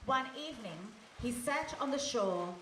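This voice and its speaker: Female fairy-tale narrator, played by a woman, fairly high voice, scripted storytelling, distant, roomy hall. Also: animated, normal speed, natural voice. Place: hall stage before a live audience. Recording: echoey hall from a distance, faint noise.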